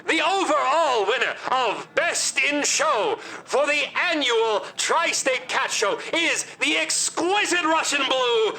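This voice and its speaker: A man playing a flustered cat show announcer in a comedic audio drama, halting and showy. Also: very high voice, very animated, vocal fry, bright, forceful tone, full character voice, low-quality recording. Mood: flustered, announcing.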